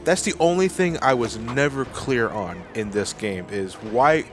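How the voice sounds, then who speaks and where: Unhurried, wry man commenting on a game. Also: medium pitch, soft background music. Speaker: let's player, co-commentator. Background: video game cutscene noise and ambience.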